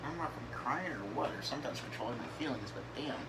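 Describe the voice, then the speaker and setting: A man's voice: medium pitch, lively intonation. Rambling young man over game noise. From northern United States. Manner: halting, many fillers and restarts. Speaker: gaming YouTuber. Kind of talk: rambling casual commentary over gameplay. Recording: game engine noise behind.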